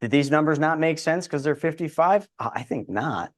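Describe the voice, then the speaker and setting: Confident man explaining things quickly. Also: fairly high pitch, expressive. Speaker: insurance advisor guest. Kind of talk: casual interview explanation.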